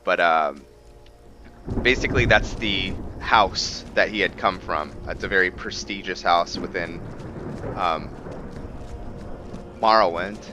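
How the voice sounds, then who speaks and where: A man giving casual gameplay commentary on a roleplay, thinking aloud and often pausing to find his words. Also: low-pitched, muffled recording, background noise. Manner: musing, thinking aloud with frequent hesitations. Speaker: gaming video host.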